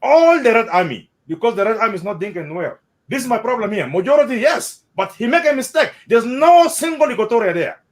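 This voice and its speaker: South sudanese guy, high voice that jumps around a lot, repeats himself, casual chat. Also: a little fast. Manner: animated, repeats words, mixes in Arabic phrases. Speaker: interviewee. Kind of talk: casual spoken commentary.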